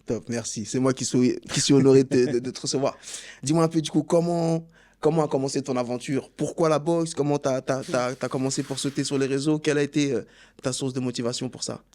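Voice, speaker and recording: A man's voice: medium pitch, fairly even intonation, a little fast, a little mellow. A man hosting a casual boxing podcast interview, friendly and enthusiastic. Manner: friendly, enthusiastic, repeats himself. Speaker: podcast host.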